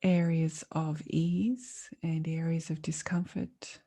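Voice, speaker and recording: A woman leading a guided meditation as a teacher, speaking in a calm, soothing, measured way with long pauses. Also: sits low.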